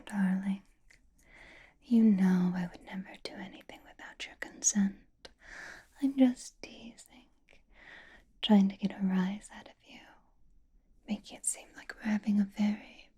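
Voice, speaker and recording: Woman playing a slow, whispering, teasing vampire seductress. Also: mid-range voice, muffled recording. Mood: teasing, seductive. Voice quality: soft breathy whisper. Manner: slow, teasing, drawling endearments. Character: teasing, possessive, flirtatious female vampire at a gala. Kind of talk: whispered ASMR roleplay.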